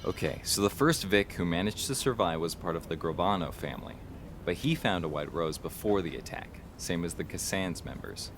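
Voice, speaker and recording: Sensible cop partner, really low voice, matter of fact, audio drama, noisy ambience behind. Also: male voice, lively intonation, moderate pace. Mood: analytical. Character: level-headed male detective partner. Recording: noticeable background ambience.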